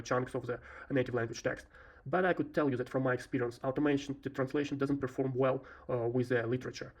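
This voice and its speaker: A man with a Russian accent talks through a programming demo from a home desk. His voice sits at an ordinary middle pitch, and he explains steps patiently, stopping often on filler sounds as he thinks aloud. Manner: unhurried, explanatory, frequent "uh" fillers. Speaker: software developer presenting a demo. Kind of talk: screen-recorded coding walkthrough.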